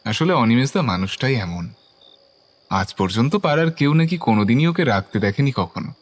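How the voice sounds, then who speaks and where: Genial male narrator, played by an actor, medium-pitched and varied in tone, for scripted story audio. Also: sing-song, moderate pace, lightly acted. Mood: genial, storytelling.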